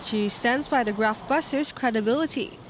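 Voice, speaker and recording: A woman's voice: on the high side, moderate pace, slight background noise. A woman working as a TV news reporter, delivering a scripted news narration.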